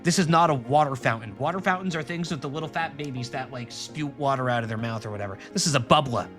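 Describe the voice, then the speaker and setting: A man's voice: medium pitch, fast talker, music in the background. A man from Rhode Island, streaming a game and chatting to his viewers in a rambling, joking way. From Rhode Island, New England. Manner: rambling, joking, talks to chat and the screen. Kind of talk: casual let's-play commentary.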